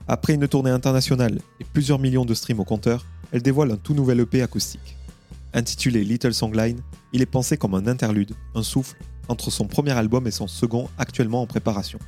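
A man hosting an interview podcast, reading a quick scripted introduction and then asking friendly questions. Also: mid-range voice, fairly even intonation, darkish tone, soft background music. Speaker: podcast host.

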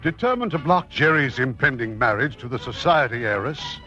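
A man's voice: medium pitch, lively intonation, moderate pace, bright, forceful tone. A man narrating a 1950s radio drama as its announcer, formal and theatrical in scripted style. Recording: muffled 1950s radio broadcast. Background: music.

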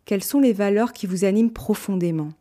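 A woman hosts an interview, posing questions in a calm, measured way. Her voice has a middle pitch with a mellow, warm tone. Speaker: podcast host.

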